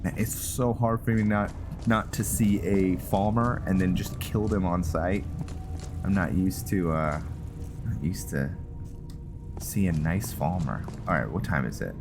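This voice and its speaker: A man hosting a gaming channel, giving casual roleplay commentary in a halting way, thinking aloud as he plays. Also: sits low, music in the background.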